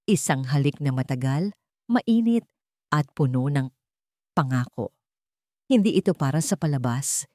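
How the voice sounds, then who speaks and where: A woman's voice: sits low, lively intonation, a little slow, long pauses, mellow, no room sound, studio quality. A woman giving a scripted, dramatic narration of a romance story.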